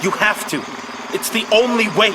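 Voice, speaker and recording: A man's voice: high-pitched, animated, moderate pace, noisy background. A man playing an earnest, defiant young rich heir in a cartoon. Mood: resolute defiance.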